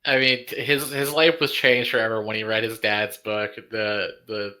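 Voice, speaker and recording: A man's voice: on the low side, flat delivery, moderate pace, bright, forceful tone. A man playing a tabletop game, making dry matter-of-fact asides in casual chat. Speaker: player. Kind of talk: casual chat among players.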